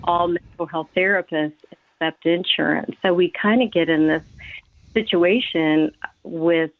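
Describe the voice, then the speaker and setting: A woman's voice: lowish voice, unhurried, dry recording. Woman guest on a tinny phone line. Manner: earnest, explanatory, many fillers. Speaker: mental health professional, guest. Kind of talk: radio interview.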